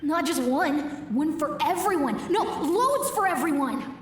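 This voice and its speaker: A woman's voice: high-pitched, lively intonation, measured pace, slight background noise. An actress voicing a small, eager boy who boasts about getting rich. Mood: boastful. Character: small boy dreaming of riches. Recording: echoey, distant memory sound.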